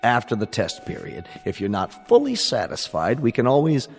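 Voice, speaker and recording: An actor in a radio drama playing a smooth, courteous salesman with polished, businesslike patter. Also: medium pitch, wildly swooping pitch, moderate pace, full character voice. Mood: smooth, reassuring. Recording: long hall reverb, music underneath.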